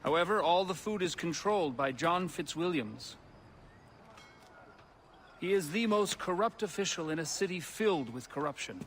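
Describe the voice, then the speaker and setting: An actor playing an articulate, formal and earnest revolutionary gentleman in game drama dialogue. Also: a little high, animated, normal speed, a little hiss or hum. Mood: earnest, persuasive.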